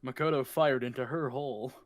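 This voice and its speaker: A man's voice: mid-range voice, fairly even intonation, fairly quick. A man throwing in mock-dramatic, joking asides during a game stream. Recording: loud music over the voice.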